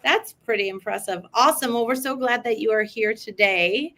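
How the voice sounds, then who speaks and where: A woman hosting a podcast, asking guests questions in an upbeat, animated way. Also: fairly high pitch, moderate pace. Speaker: podcast host. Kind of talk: casual chat.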